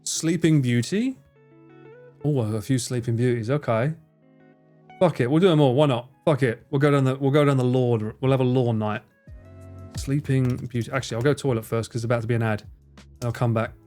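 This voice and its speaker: A man's voice: mid-range voice, fairly quick, dark tone, soft background music. A young man streaming live, chatting with his viewers and swearing and joking as he plays a game. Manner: sweary, jokey, talks to chat and laughs often. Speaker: livestreamer. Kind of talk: live stream chat while gaming.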